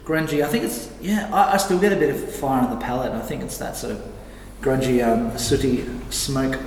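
A man's voice: medium pitch, brisk, darkish tone. A man with an Australian accent chatting casually as a podcast co-host, asking questions and commenting. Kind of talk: casual interview chat. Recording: distant mic, echoey room, faint noise.

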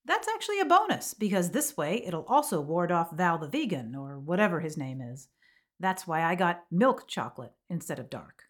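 A woman's voice: fairly low pitch, sing-song, moderate pace. Woman acting a swooping, grandiose, zealous oddball co-host. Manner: grandiose, emphatic, argumentative. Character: flighty theatrical woman dabbling in homemade magic, zealously absurd. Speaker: podcast co-host. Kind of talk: scripted comic podcast banter.

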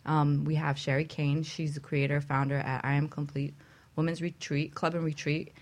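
A woman's voice: sits low, little pitch movement, normal speed, darkish tone. A woman co-hosting a casual radio talk show, introducing things in a conversational way.

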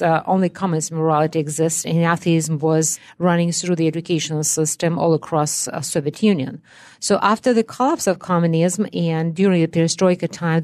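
A woman's voice: lowish voice, a little mellow, dry recording. A middle-aged woman from Russia with a Russian accent, earnestly explaining things as a guest in a radio studio interview. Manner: earnest, explanatory, non-native grammar. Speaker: guest, education scholar.

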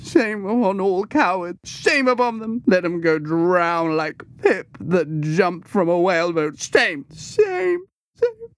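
High-pitched, frenzied mad cabin boy. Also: expressive, takes it slowly, no room sound, full character voice. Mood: wild, scornful. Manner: wild chanting exclamations and lamenting repetition.

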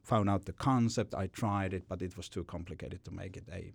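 A man's voice: sits low, brisk, gravelly. A man, a company founder telling his story in an interview, often repeating words as he goes. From Finland. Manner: rambling with frequent repeated words. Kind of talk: podcast interview answer.

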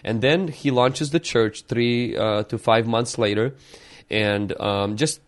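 A man's voice: mid-range voice, takes it slowly. A man from Ukraine, a pastor, who opens with a prayer and then tells his life story in an earnest, reflective way, often restarting his sentences. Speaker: pastor and podcast guest. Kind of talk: opening prayer, then storytelling interview answers.

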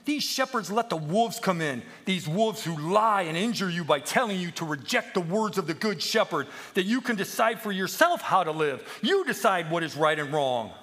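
A man voicing an earnest, emotional little lamb who pleads in a high, strained, preachy way, as in a children's story. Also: moderate pace, a bit bright. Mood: indignant pleading. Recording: long echoing hall reverb.

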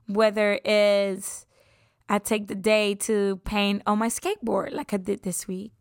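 A woman's voice: medium pitch, flat delivery, unhurried, no echo, studio quality. A woman in her twenties from Puerto Rico, chatting casually about herself as a podcast host, upbeat and laughing often, sometimes dropping into a whisper. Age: 20s. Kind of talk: casual self-introduction.

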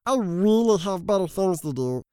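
A man voicing a young male caterpillar who becomes a butterfly in a storybook, speaking in a drawn-out, childlike way. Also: high voice, lively intonation, mellow, smooth, dry recording, clean studio sound, pitched above the performer's own voice. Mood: smug.

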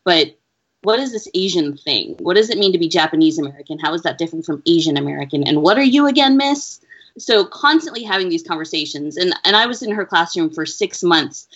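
A woman researcher answering interview questions in an earnest, measured, explaining way. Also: fairly low pitch, lively intonation, fairly bright tone, husky. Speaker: education researcher, interview guest. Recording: dull lo-fi audio.

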